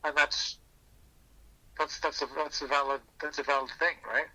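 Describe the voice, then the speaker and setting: A man with a very sharp, bright voice talks reflectively as a podcast guest over the phone. He is earnest and thinks aloud, circling back to restart phrases as he shares personal reflections. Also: a little high, little pitch movement, moderate pace. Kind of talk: reflective personal storytelling in an interview. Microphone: phone call. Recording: thin, bright telephone line.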